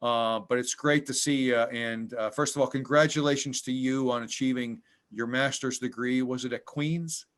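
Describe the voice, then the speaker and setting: American male host, friendly but halting, lots of hesitations, video call interview. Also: medium pitch, fairly even intonation, a bit bright. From United States. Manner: halting, full of ums and restarts.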